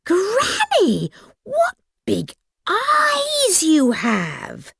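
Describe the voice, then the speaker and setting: A woman's voice: very high-pitched, huge pitch swings, drawn-out words, creaky, a bit bright, no echo, clean studio sound, voice pushed up, heavily acted. A woman voicing a sweet, trusting little fairy-tale girl with an innocent, eager manner. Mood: wondering.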